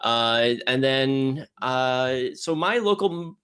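A man's voice: mid-range voice, lively intonation, takes it slowly, fairly bright tone, clear, resonant tone. A man co-hosting a casual film discussion, talking enthusiastically in a rambling way that halts mid-phrase. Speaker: podcast co-host reviewing films.

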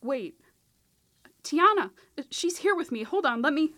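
A woman's voice: sits high, lively intonation, unhurried, bright, forceful tone, full character voice. A young woman acting in an audio drama as a skeptical, grieving daughter who mutters to herself in disbelief. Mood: stunned.

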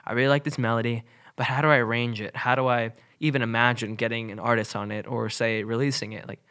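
A man's voice: medium pitch, flat delivery, fast, sharp tone, clear, resonant tone. A young man co-hosting a podcast, talking in a loose, rambling way with lots of fillers, a little nasal from a cold. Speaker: podcast co-host. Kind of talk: casual podcast chat.

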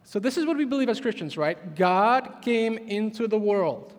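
Man, Czech accent, high voice, preaching, long echoing hall. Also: normal speed. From Czech Republic. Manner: animated, emphatic preaching with many restarts. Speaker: pastor. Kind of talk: sermon.

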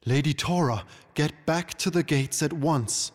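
A man's voice: on the high side, a little slow, pitched above the performer's own voice, close to an everyday voice. A man voicing a proud, wrathful unicorn queen with a haughty, dramatic, regal delivery in a fantasy story. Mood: stern.

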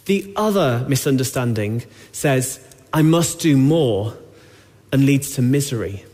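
A man preaching a sermon to his church, with a sore throat, speaking in an earnest, measured way that drops low on key lines. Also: lively intonation, dark tone, long reverb tail. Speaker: pastor. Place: church hall.

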